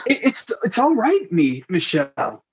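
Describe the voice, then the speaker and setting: A woman playing a hesitant, concerned ex-lover in a radio comedy, stammering anxiously and gently insisting, with a husky voice. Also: medium pitch, very animated, unhurried, slight background noise. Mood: awkward. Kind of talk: sci-fi comedy radio acting.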